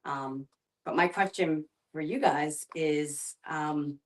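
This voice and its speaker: Woman, low pitch, discussion facilitator, conversational, reflective, halting phrasing. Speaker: guest facilitator, end-of-life doula and filmmaker. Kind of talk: leading a group discussion, conversational.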